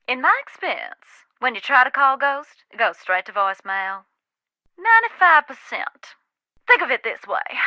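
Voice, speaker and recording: A haunted, wry woman from a video game, with an American Southern accent. Her voice is fairly high and very bright, recorded close and dry. She talks in a folksy, dramatic way, teasing yet knowing about the dead. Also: no echo, muffled recording, heavily acted.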